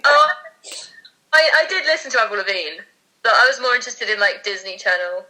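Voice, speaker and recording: A young woman from a band chats in a casual interview, joking about herself. Her voice is bright, at a middle pitch. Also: expressive, fairly quick, poor audio. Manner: laughing, self-mocking chat. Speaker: metal band member.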